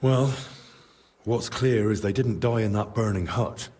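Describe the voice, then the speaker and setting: A composed healer in a game cutscene, with a husky, lowish voice. His delivery is clinical and deliberate, as if reasoning through evidence step by step. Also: mellow. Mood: analytical, composed. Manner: analytical, deliberate. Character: clinical, composed male healer examining the dead. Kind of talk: video game cutscene acting.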